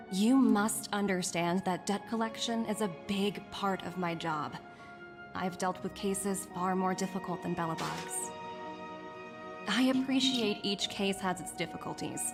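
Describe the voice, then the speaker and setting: An actress voicing a poised, polite businesswoman debt collector in a video game, articulate and measured. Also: medium pitch, natural voice. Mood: firm, businesslike. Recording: background music under the voice.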